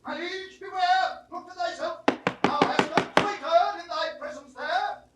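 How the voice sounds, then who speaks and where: A man with a British accent playing a stern, unforgiving old duke in a classical Shakespearean audio drama, declaiming vehemently in a husky voice. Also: very high voice, unhurried, heavy background noise. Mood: frantic alarm. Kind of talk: classical Shakespearean stage acting. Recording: vintage 1960s studio recording.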